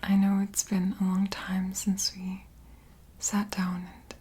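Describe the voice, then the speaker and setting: A woman whispers slowly in a very breathy, soft voice. She sounds soothing and reassuring, speaking as an ASMR host in a gentle roleplay. Her delivery stays mellow and unhurried throughout. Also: mid-range voice, monotone.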